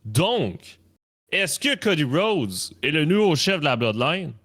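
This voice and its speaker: A man's voice: fairly high pitch, very animated, takes it slowly, a bit bright. A man with a Canadian French accent co-hosting a casual live wrestling talk stream, often repeating words as he builds his point. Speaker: wrestling talk show co-host.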